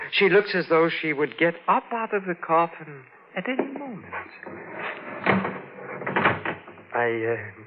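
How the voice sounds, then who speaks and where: An actor playing a haunted, fragile gardener with an overwrought, halting delivery in a 1940s radio drama. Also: male voice, medium pitch, a little hiss or hum, lightly acted. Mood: hushed, mournful. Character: haunted, fragile gardener widower confessing to madness and killing. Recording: dull old radio broadcast recording.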